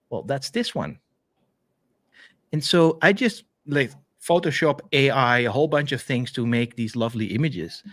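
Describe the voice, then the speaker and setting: Animated Dutch man demoing on a stream. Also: medium pitch, no room sound. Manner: chatty, animated, many fillers and restarts. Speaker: developer advocate co-hosting a stream. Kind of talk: casual live-stream demo. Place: home office, video call.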